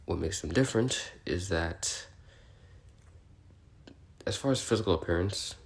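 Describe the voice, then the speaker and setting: A man's voice: low-pitched, unhurried, creaky. A man playing an awkward, curious, bookish researcher recording an audio log, explaining in a hesitant, rambling, politely apologetic way. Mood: curious, musing. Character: male researcher logging species notes, awkward, curious, bookish. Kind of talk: in-character audio log, semi-improvised explaining.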